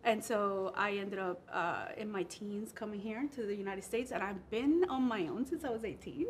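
A woman's voice: mid-range voice, normal speed, slight background noise. A woman in her forties from Puerto Rico, chatting casually and jokingly as a studio interview guest. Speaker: interview guest, freelance animator and designer. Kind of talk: casual conversational chat. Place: indoor studio set at a table.

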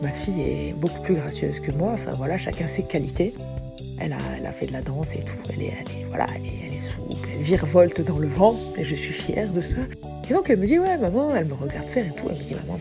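A woman's voice: low-pitched, creaky. A woman in her forties, a singer-songwriter, talking through her music clips in a loose, rambling way with many hesitations. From France. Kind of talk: casual spoken commentary over music clips. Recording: music playing under the voice.

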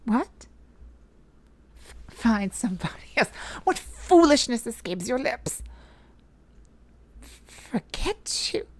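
A woman playing a tender, heartbroken but resolute mage in an emotional audio roleplay, speaking intimately and haltingly with a pleading manner. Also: on the high side, sing-song, exaggerated voice. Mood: anguished, disbelieving.

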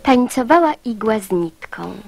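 A woman's voice: a little high, expressive, moderate pace, vocal fry, darkish tone, faint music behind. A woman reciting a poem for children. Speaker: poetry reciter.

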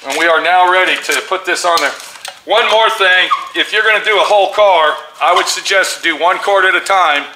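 A man's voice: high voice, normal speed, echoey room, noisy background. A middle-aged man demonstrating a spray gun, plain-spoken and instructive, recorded in a small paint booth. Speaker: auto painter demonstrating tools. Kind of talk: hands-on tool demonstration.

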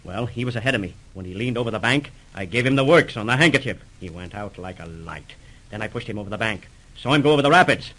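A brash, cold-blooded 1930s radio schemer. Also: male voice, lowish voice, animated, fast, fairly bright tone, low-quality recording. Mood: smug. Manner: slangy, bullying tough talk.

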